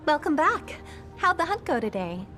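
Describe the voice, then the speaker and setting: An actress playing a poised, friendly, gently teasing mission coordinator in a video game. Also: female voice, high voice, big pitch swings, moderate pace, soft background music, full character voice. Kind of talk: video game cutscene acting.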